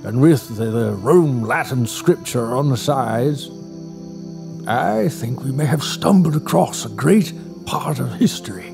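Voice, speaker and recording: An actor playing an excitable, pompous older scholar in an audio drama, speaking in a theatrical, drawn-out way. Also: male voice, mid-range voice, creaky, over music. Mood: excited.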